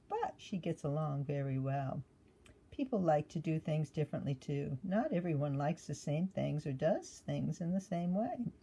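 Older female children's reader, mellow and husky, teacherly, conversational asides to kids. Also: on the low side. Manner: gentle teacherly questioning, addressing children directly. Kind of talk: read-aloud to young children with chatty asides.

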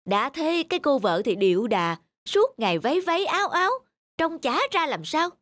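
A woman voicing a gossipy, meddling older village woman in an animated cartoon, with a nosy, exclamatory manner. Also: sits high, moderate pace, no room sound. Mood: sniping.

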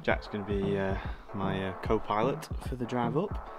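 Man, thirties, relaxed chatty vlogger, low pitch, background music. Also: moderate pace. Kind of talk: casual travel vlog to camera. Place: campervan and outdoors in the highlands. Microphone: handheld camera at arm's length.

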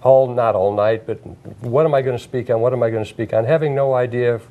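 A man's voice: mid-range voice, steady tone, fast talker, phone-quality sound. An older man hosting a studio interview as a pastor, telling a story earnestly and reflectively. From Washington state, USA. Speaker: pastor host. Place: studio set, indoors. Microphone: lapel mic.

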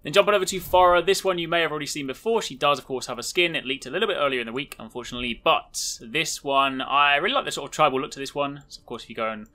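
Bright, forceful male game commentator. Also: fairly high pitch, very fast, hardly any pauses. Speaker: video host showcasing game cosmetics.

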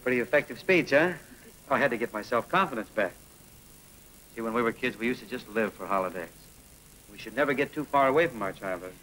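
An actor playing an easygoing, joking male priest in a 1940s film, with a lively, relaxed delivery. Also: medium pitch, fast talker, close to an everyday voice, poor audio. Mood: jovial. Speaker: new parish priest. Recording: old film soundtrack with faint hiss.

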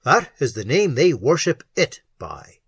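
A man voicing a tormented, trembling male explorer, halting and anguished, in a horror audiobook. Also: mid-range voice, very animated, a bit bright, breathy edge, no echo, studio quality, heavily acted. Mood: haunted, fervent. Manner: halting, moaning and sobbing, anguished. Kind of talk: dramatic horror audiobook acting.

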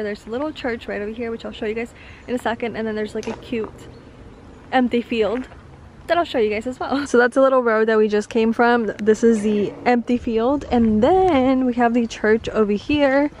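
A woman's voice: on the high side, darkish tone. A young woman in her twenties vlogging casually, chatty and upbeat, talking into a handheld camera as she walks around. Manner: chatty, upbeat, easily delighted. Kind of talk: casual vlog narration. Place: indoor shopping mall and outdoor street. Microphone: handheld compact camera at arm's length. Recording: noisy mall and street ambience.

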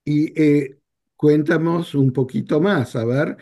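An older man hosting a talk show over a video call, speaking in a measured, reflective way. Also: a little high, dark tone. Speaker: radio show host. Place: home office.

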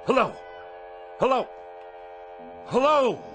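An actor playing a haughty, indignant middle-aged misanthrope with ornate, theatrical diction in a radio drama. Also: male voice, very high voice, sing-song, takes it slowly, over music, full character voice. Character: pompous, irascible middle-aged misanthropic writer.

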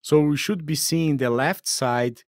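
A man in his thirties from southern Brazil with a Brazilian accent, giving a steady, explanatory veterinary lecture. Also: on the high side, unhurried, no echo, studio quality. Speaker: veterinary professor. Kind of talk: recorded lecture narration.

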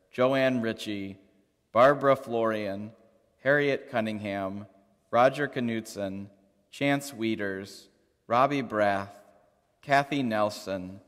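A man leading a congregation through the creed and prayers with measured, liturgical recitation. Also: lowish voice, fairly bright tone. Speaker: worship leader. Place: large church sanctuary. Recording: long church reverb.

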